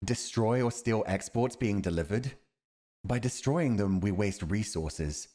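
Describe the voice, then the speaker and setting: A man's voice: lowish voice, animated, a little slow, mellow. A man acting a principled, earnest army commander advising a monarch in a fantasy audio roleplay, speaking in a formal, emphatic, righteous way. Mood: skeptical.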